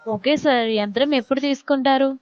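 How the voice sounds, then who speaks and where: A woman playing a customer care caller who politely and patiently delivers a sales pitch over the phone. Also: fast talker, no echo, highs cut off. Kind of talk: scripted sales phone call.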